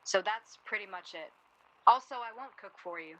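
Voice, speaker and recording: An actress in a 1930s-set radio play, playing a sharp, sarcastic woman who lays on guilt to her houseguests. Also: mid-range voice, animated, moderate pace, low-quality recording. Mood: cool, curt. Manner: sarcastic, needling. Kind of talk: radio play acting, 1930s period drama.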